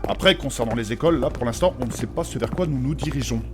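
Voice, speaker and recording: A man's voice: mid-range voice, quick pace, natural voice. A man playing a pedantic, formal scholar of magic who explains precisely and gets flustered easily in a comedic fantasy audio drama. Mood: explanatory, earnest. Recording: music playing behind the voice. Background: music.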